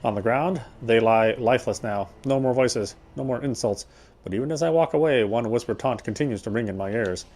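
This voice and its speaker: A man's voice: mid-range voice, a little fast, a little mellow. A man reading a game's story narration aloud in a dramatic storytelling style.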